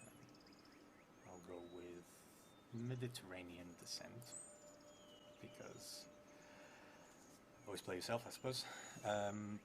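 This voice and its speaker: A man in his thirties thinking aloud in a casual, hesitant way as he explains a solo tabletop game on a live stream. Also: deep voice. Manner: hesitant, halting. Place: indoor theatre room, seated at a table. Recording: clearly noisy live stream room.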